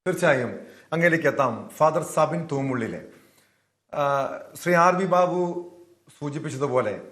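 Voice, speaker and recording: A man's voice: fairly high pitch, a bit bright. A man with a Malayali accent moderating a television debate, putting long, formal, probing questions to panelists. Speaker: news debate host. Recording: roomy echo.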